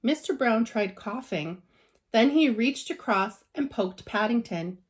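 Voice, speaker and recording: A woman's voice: a little high, animated, measured pace. A woman teacher reading a children's storybook aloud with expression.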